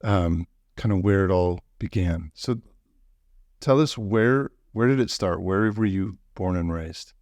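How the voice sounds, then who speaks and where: A man hosting a podcast interview, relaxed and joking, with short acknowledgments. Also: low voice, unhurried, dry recording. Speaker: podcast host. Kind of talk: casual interview conversation.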